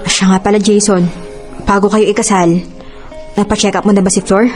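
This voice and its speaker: A woman's voice: mid-range voice, little pitch movement, a little fast, a little mellow, close to an everyday voice. A woman with a Filipino accent playing a protective, forgiving elder sister in a radio drama, earnest and admonishing. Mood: concerned. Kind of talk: Tagalog radio drama acting. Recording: music bed under voice.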